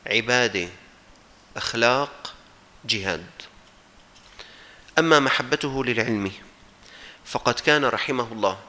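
Bright-voiced Syrian man preaching. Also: mid-range voice. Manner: emphatic, exhorting, with rhetorical repetition. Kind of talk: religious sermon to an audience, mixing formal and colloquial speech.

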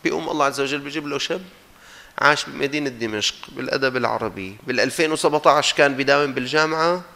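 A man's voice: mid-range voice, very fast, gravelly, sharp tone. A man with a Syrian accent giving a religious sermon, exhorting his listeners emphatically and mixing formal with colloquial speech. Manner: emphatic, exhorting, with rhetorical repetition. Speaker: preacher.

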